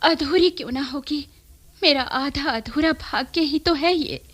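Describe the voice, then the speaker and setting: A woman's voice: high-pitched, a little slow, fairly bright tone. An actress playing a refined, melancholy noble lady in a classical radio play, poised, formal and theatrical. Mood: wistful, moved.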